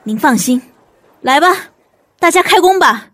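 An actress playing a composed, ambitious young hotel cook in a Mandarin radio drama, measured and coolly cynical. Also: female voice, sits high, animated, a bit bright, a little hiss or hum. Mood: polite, assured. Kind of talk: Mandarin audio drama acting with narration.

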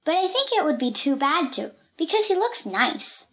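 A woman's voice: high-pitched, big pitch swings, moderate pace, fairly bright tone, pitched above the performer's own voice, heavily acted. A woman voicing a plucky, cheeky little girl from a storybook, innocently confident and matter-of-fact.

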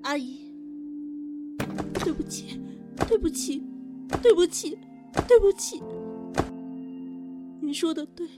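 A woman playing a guilt-ridden, fragile young woman in an audio drama, halting and sorrowful. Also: very high-pitched. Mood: anguished, remorseful. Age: young adult. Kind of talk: Chinese audio drama acting.

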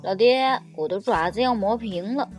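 A woman voicing an eager, naive little pet dog in a storybook, childlike, excitable and curious. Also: mid-range voice, normal speed, soft background music, lightly acted. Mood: plaintive.

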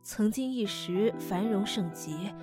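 A woman's voice: medium pitch, animated, slow pace. A timid, earnest townswoman, music behind. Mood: earnest, troubled. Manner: hesitant, earnest. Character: earnest, timid grieving woman from a quake-struck county. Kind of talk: Chinese fantasy radio drama acting. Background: background music.

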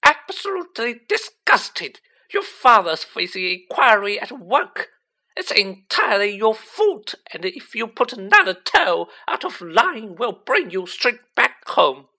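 A narrator voicing a furious middle-aged mother, yelling a shrill, indignant scolding in a storybook. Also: big pitch swings, a little slow, heavily acted.

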